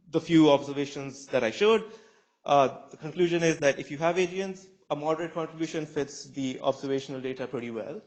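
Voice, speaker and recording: A man's voice: a little high, poor audio. Young man giving a scientific talk. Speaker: PhD student speaker. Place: lecture room at a conference.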